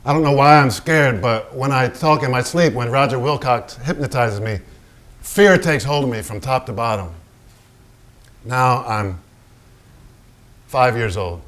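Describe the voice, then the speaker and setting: An actor in a staged reading playing a brash, vulgar and troubled celebrated painter, with a raw, profane, halting delivery. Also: male voice, mid-range voice, a bit bright, close to an everyday voice. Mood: confiding, uneasy. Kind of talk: theatrical staged reading, dramatic monologue.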